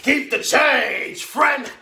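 A man's voice: extremely high pitch, very slow, creaky, bright, forceful tone, roomy sound, distant mic, pitched above the performer's own voice, heavily acted. A man playing a violent, boastful father who taunts his wife and repeats himself in a theatrical monologue. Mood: loud, boisterous.

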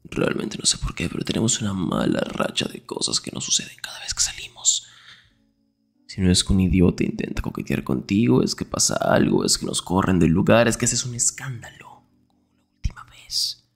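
A low, husky young man played by a male actor murmurs in an intimate ASMR roleplay as a jealous, protective best friend. He is grumpy and teasing, slipping often into breathy whispers with a gravelly edge. His delivery is defensive and sarcastic. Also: big pitch swings, moderate pace, soft background music, full character voice.

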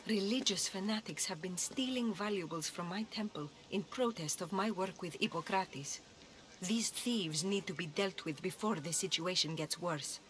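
An actress playing a stern, devout priestess of an ancient Greek temple in a video game. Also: female voice, on the low side, moderate pace, a bit bright, close to an everyday voice. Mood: earnest, resolute. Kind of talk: video game character acting.

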